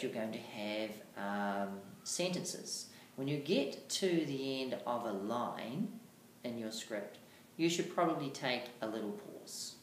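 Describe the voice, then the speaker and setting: Teacher, mid-pitched voice, deliberate and emphatic lesson delivery, clear pauses. Echoey room, faint noise. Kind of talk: instructional lesson on reading aloud.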